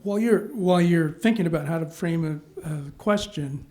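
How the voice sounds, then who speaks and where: Man moderating a panel, measured questions. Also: fairly high pitch, darkish tone. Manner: measured questioning, checks in with "okay?".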